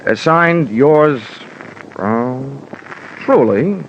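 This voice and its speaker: An actor playing a wry, hard-boiled American insurance investigator, narrating dryly and colloquially in 1940s radio detective style. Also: male voice, on the high side, animated, drawn-out words, a little hiss or hum, full character voice. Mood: dry, droll. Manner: dry, sardonic, colloquial. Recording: muffled old radio recording with music.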